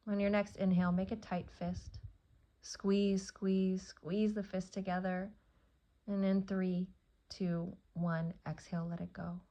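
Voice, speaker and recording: Woman doing yoga nidra, super flat soothing delivery, lots of vocal fry, long pauses, bit muffled. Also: mid-range voice. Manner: soothing, unhurried, with long pauses between short phrases. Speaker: yoga nidra teacher and meditation guide. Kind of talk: guided meditation.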